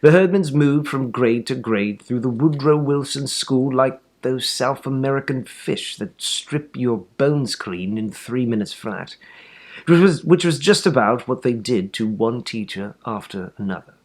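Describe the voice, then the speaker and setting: Animated man reading a children's story. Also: mid-range voice, a little slow. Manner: animated, theatrical emphasis. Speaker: storybook reader.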